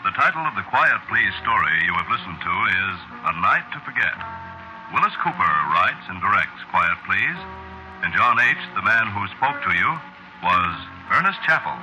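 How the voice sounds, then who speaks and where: A man's voice: on the low side, big pitch swings, moderate pace, music in the background, rough recording. A man reading a scripted sign-off in 1940s radio announcer style, on a vintage broadcast recording.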